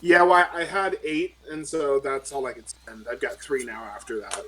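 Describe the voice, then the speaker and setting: A man's voice: medium pitch, steady tone, moderate pace, a bit bright, slightly rough, low-quality recording. A man chatting casually as a player in a tabletop role-playing game. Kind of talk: casual chat.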